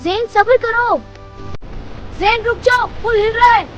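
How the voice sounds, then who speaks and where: A woman's voice: extremely high pitch, steady tone, measured pace, a bit bright, lo-fi sound. A woman voicing a young boy adventurer in a children's cartoon, earnest, exclamatory and easily frightened. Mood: alarmed. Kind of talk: children's moral cartoon story acting. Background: music.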